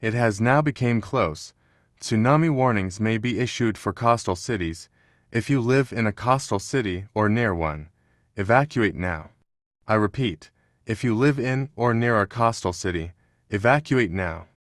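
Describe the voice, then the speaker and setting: A man plays a solemn emergency broadcast announcer. His voice is on the low side and very dry, with no room sound. He delivers scripted alerts formally and gravely. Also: expressive, a little slow, no echo. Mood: grave, urgent.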